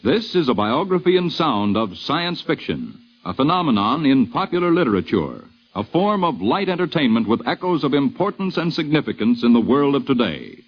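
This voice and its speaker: A man's voice: mid-range voice, very animated, normal speed, few pauses, slightly rough, dull audio. A man announcing formally in the style of a 1950s radio introduction, on a vintage broadcast recording.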